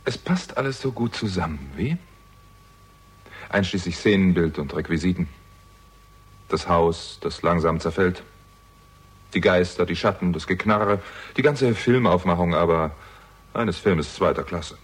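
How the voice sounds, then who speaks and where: Husky, low-voiced sardonic investigator. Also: male voice, a little slow, pauses often. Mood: ironic, probing. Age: young adult. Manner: dry, ironic, probing. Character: sardonic younger man, shrewd amateur investigator. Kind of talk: German radio crime drama acting.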